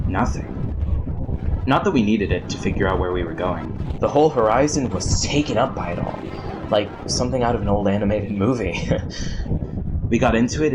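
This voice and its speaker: An actor playing a wistful, nerdy storm chaser who survived the apocalypse, rambling reflectively in a radio drama monologue. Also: male voice, lightly acted. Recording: noisy radio transmission, vehicle rumble.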